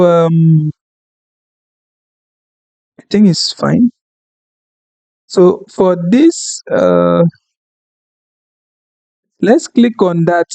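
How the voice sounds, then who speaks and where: Slow-talking, high-voiced man, French native. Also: vocal fry, mellow, dry recording, poor audio. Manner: unhurried, apologetic, thinks aloud with fillers. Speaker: tutorial instructor. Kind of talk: screen-recorded software tutorial.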